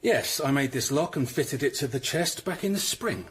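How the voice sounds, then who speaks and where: An actor playing a powerful, surly, defensive Tudor locksmith with a rough voice in a radio drama. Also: male voice, medium pitch, expressive, normal speed. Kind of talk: BBC radio drama acting.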